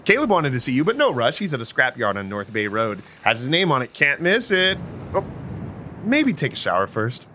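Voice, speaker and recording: An actor playing a cocky, callous vampire mentor in an audio drama, with a flippant, sarcastic way of talking. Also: male voice, medium pitch, huge pitch swings, normal speed, sharp tone, a little hiss or hum.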